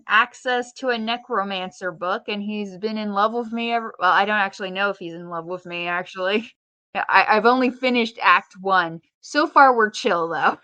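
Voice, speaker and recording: A woman's voice: mid-range voice, bright, forceful tone, no room sound. A woman co-hosting a casual podcast, chatty and opinionated, with wry, rambling asides. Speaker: podcast co-host.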